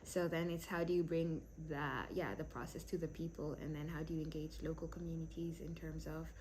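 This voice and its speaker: A woman's voice: low-pitched, level tone, fairly quick, a little mellow, slight background noise, poor audio. A young woman from South Sudan, raised in Kenya, answering interview questions thoughtfully with many fillers and restarts. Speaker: PhD candidate.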